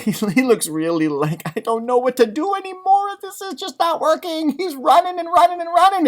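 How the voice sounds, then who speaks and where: A man with a high voice reads a picture book to young children. His delivery swoops up and down, expressive and exaggerated, and he drops in chatty asides like a teacher. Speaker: elementary music teacher reading aloud. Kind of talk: animated children's storybook read-aloud with commentary.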